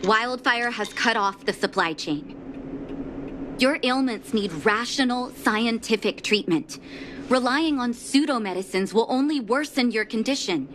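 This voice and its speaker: An actress voicing a composed, weary woman doctor in a video game cutscene, speaking in a measured, earnest, formal way. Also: a little high, background noise, close to an everyday voice. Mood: firm, earnest.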